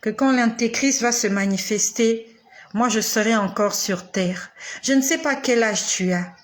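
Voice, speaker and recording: Emphatic woman preacher in her forties. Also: a little high. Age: 40s. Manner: slow, emphatic repetition with dramatic pauses. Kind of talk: sermon addressed to the listener.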